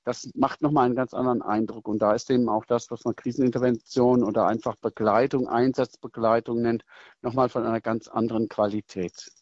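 Middle-aged chaplain guy explaining things slowly, bit lo-fi, dry room. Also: medium pitch, little pitch movement, darkish tone, dry recording. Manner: thoughtful, measured, frequent hesitations and restarts. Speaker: military chaplain. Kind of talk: interview answers.

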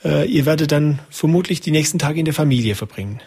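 A man's voice: on the high side, lively intonation, quick pace, darkish tone, breathy edge. A man hosting a radio interview, asking his guest questions in a conversational way. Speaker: radio host.

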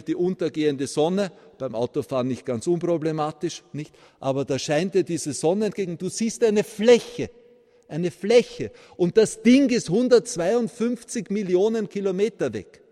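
Viennese priest preaching in a reverberant church. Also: male voice, high voice. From Vienna, Austria. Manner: animated preaching, rising to emphatic peaks, tag questions like "ja?". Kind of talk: sermon.

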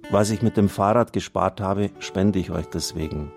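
Low-voiced man over guitar music. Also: moderate pace, gravelly, a little mellow. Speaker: radio host and priest. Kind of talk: reading out listener testimonials and appealing for donations on air.

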